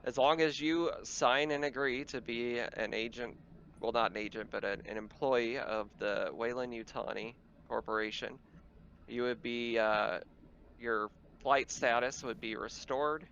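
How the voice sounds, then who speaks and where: A man's voice: mid-range voice, steady tone, measured pace, fairly bright tone, highs cut off, a little hiss or hum. A man running a tabletop roleplaying game, narrating casually as game master.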